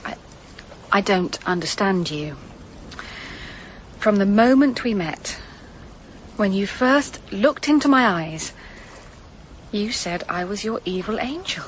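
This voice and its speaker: A woman's voice: mid-range voice, lively intonation, slow pace, long pauses, slight background noise, rough recording. A woman acting in a radio drama as an earnest, grieving Victorian lady, determined and probing in her delivery. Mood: searching.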